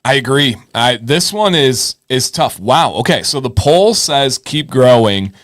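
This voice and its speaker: A man hosts a casual live advice show in a medium-pitched voice. He is encouraging and informal, often repeating words as he thinks aloud. The sound is dry and close. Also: animated, a little slow, fairly bright tone, no room sound. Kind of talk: casual live show chat, reading viewer messages and giving advice.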